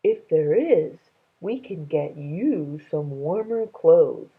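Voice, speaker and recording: A woman's voice: low voice, lively intonation, takes it slowly, husky, phone-quality sound, heavily acted. A woman voicing a friendly, bumbling male dragon in a storybook, earnest and a little hesitant. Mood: observant, caring.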